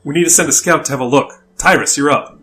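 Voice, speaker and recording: An actor playing a protective, commanding warrior leader and elder brother in an audio drama. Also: male voice, fairly high pitch, quick pace, sharp tone. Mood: firm.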